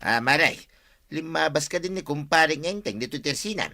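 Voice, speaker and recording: A man's voice: on the high side, expressive, normal speed, bright, forceful tone. A man with an Ilocano accent playing a teasing village friend in an audio drama.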